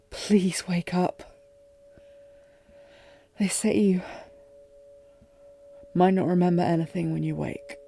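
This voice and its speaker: A woman playing a lovesick warrior queen, regal yet fragile, in an intimate fantasy audio drama with formal, emotive, halting delivery. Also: fairly low pitch, gravelly, mellow, exaggerated voice. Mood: pleading, hushed. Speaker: audio roleplay performer.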